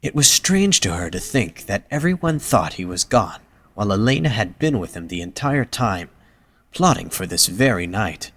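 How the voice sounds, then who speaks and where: A man narrates a dramatic story from a script. His voice is a little on the low side, in the role of an audio drama narrator. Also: very animated, normal speed, a bit bright, breathy edge.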